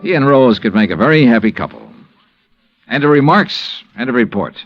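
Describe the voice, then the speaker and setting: Hard-boiled male investigator, played by an actor: clipped, commanding, very animated delivery, vintage radio serial, dull recording. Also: mid-range voice, brisk, faint music behind, close to an everyday voice. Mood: brisk, wry. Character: brisk, tough, decisive male insurance investigator. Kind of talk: 1950s radio detective acting and narration. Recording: muffled 1950s radio recording.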